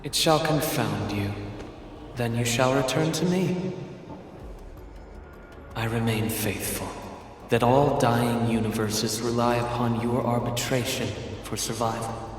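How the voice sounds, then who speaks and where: Courtly, enigmatic male villain for a story game, played by an actor: slow, formal, theatrical, music underneath. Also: medium pitch, lightly acted. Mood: solemn, devoted. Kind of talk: video game story voice acting. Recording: dramatic music behind the voice.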